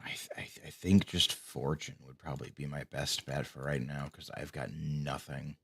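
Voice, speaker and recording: A man casually talking to himself while playing a game, low-key and mumbling, with a voice he says people call soothing. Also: little pitch movement, normal speed, creaky, a bit bright, slightly rough, dry recording. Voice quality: voice called soothing. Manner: low-key, mumbling, swears when frustrated. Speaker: streamer. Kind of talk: casual gameplay commentary.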